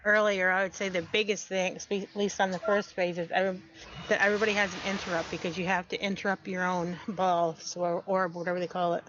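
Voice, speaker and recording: Woman, brisk flat raid caller, dull noisy lo-fi mic. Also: lowish voice. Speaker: raid player. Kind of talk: casual voice chat.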